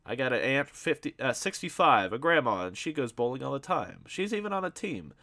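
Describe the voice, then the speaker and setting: A man's voice: medium pitch, sing-song, fairly quick, bright, forceful tone, voice pushed up. A man voicing a meek, earnest young patrolman, talking plainly and apologetically.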